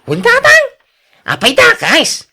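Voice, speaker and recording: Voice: very high-pitched, wildly swooping pitch, takes it slowly, sharp tone, exaggerated voice. A performer with a Filipino accent playing a smitten, insistent suitor in a radio drama, pleading and protesting emphatically. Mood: indignant. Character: smitten, insistent younger suitor of older woman. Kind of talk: Ilocano radio drama acting.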